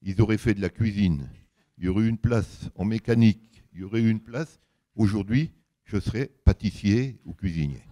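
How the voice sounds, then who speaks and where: An older man with a big voice giving an anecdotal public talk, colloquial and emphatic, acting out bits of dialogue as he tells it. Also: normal speed. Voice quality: big deep voice. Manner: animated storytelling, colloquial, emphatic, acts out reported dialogue.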